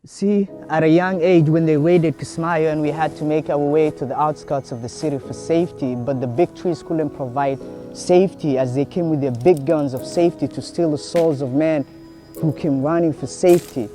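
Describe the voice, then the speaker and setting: A man's voice: fairly high pitch, steady tone, moderate pace. Man reciting spoken word over music. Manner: rhythmic, declamatory. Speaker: spoken word poet and show host. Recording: loud music behind.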